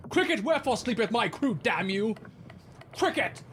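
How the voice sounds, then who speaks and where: Man acting a high-pitched, pompous first mate. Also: sing-song, moderate pace, a bit bright, slight background noise. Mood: indignant. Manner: archaic, pompous, commanding. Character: stern, authoritarian old-time sailing ship first mate. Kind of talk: period audio drama acting.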